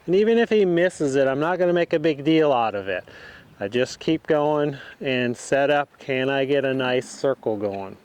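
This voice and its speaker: A man giving calm, explanatory riding instruction as he rides in an outdoor arena. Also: medium pitch, moderate pace, smooth. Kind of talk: instructional commentary while riding.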